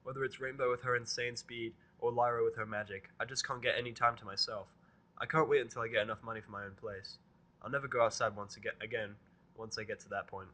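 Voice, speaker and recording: A man's voice: mid-range voice, fairly even intonation, fast talker, pushed and bright. A man narrating a fan fiction story in the first person, in a dry, irritable tone. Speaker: reader.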